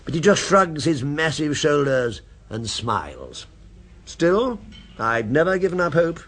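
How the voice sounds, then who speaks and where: In a vintage radio drama, a refined gourmet with a fixation on one restaurant. He sounds precise and insinuating, with a lively, rising and falling delivery and a slightly husky edge. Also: fairly high pitch, unhurried, exaggerated voice. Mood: knowing, earnest. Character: refined man obsessed with a restaurant. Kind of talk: 1970s radio drama acting. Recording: old radio broadcast recording.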